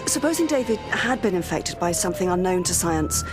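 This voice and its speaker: A woman's voice: fairly low pitch, big pitch swings, brisk, music in the background. An actress playing a concerned woman who questions things seriously in a radio drama. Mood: troubled, questioning. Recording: radio drama with loud soundtrack.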